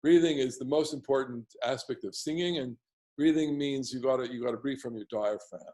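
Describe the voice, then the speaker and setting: Chatty baritone man teaching a class. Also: a little fast, warm tone. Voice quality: baritone bass voice. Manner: rambling, with many restarts and asides. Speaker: teacher of synagogue prayer melodies. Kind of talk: casual online class. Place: home.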